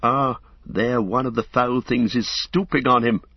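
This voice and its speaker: Man doing a guardsman, grave and loyal, low husky voice, archaic speech, dull recording. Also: moderate pace, dry recording. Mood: alarmed. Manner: solemn, old-fashioned speech.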